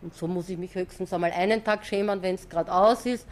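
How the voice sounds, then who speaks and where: A woman's voice: lowish voice, steady tone, brisk. A woman bookseller answering interview questions in a firm, matter-of-fact way.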